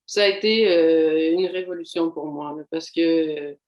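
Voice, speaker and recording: A young adult woman with a Québécois accent gives a candid, reflective personal testimony, pausing often to find her words. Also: sits low, reverberant, dull audio. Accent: Québécois French. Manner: candid, reflective, halting with frequent fillers. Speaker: doctoral student giving a faith testimony. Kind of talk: personal testimony in a video seminar.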